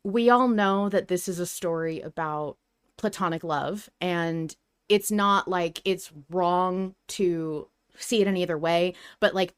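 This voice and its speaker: Smooth-voiced woman in earnest podcast discussion. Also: on the low side, no echo, clean studio sound. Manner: earnest, emphatic, building arguments point by point. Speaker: podcast co-host. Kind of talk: casual, analytical podcast discussion.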